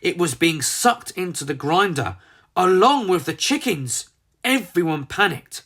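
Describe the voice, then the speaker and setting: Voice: medium pitch, expressive, measured pace, fairly bright tone, slightly rough. A storyteller narrating a children's book in a theatrical, emphatic manner. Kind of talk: dramatised storybook narration with character voices.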